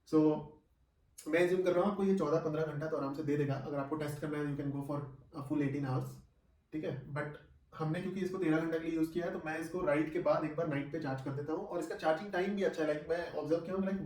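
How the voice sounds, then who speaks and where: A man's voice: fairly high pitch, a little mellow. Echoey, distant-miked man reviewing casually. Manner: conversational, mixes in English phrases, often checks with short tag questions. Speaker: vlogger reviewing gear. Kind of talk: casual product review to camera. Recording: echoey room, distant microphone.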